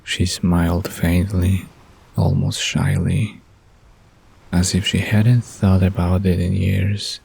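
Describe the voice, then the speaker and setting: Male narrator for bedtime drama. Very husky, low, unhurried. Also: darkish tone. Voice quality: husky, gravelly.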